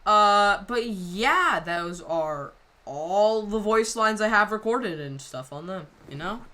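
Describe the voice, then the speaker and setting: A woman creator talking casually over a video, rambling and halting with lots of fillers. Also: fairly low pitch, bright, forceful tone. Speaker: channel creator.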